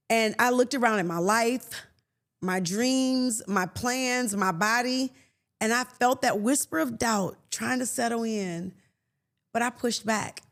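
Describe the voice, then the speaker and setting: A woman in her late fifties hosting a faith podcast, talking earnestly and conversationally with soft laughs and restarts. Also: fairly high pitch. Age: 50s. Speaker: podcast host. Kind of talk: reflective faith podcast monologue.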